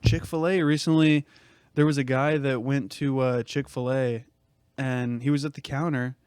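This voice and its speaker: A man's voice: medium pitch, steady tone, normal speed, darkish tone, clear, resonant tone, dry recording. A young man bantering as a podcast co-host, teasing and slangy, repeating phrases for comic effect. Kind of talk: casual comedic podcast banter.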